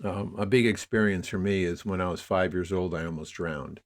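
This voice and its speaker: An older man being interviewed as a podcast guest, telling stories conversationally in a halting, rambling way. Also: sits low, steady tone. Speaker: podcast guest, media producer.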